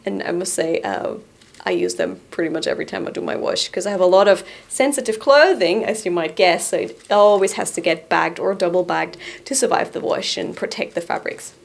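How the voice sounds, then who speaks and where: A young woman hosting a casual product review to camera in a small studio, bubbly and giggly, sometimes easing into a softer, relaxed tone. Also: on the low side, brisk, creaky. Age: young adult. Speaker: host presenting shop items. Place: small indoor studio room. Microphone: boom-arm studio mic in front.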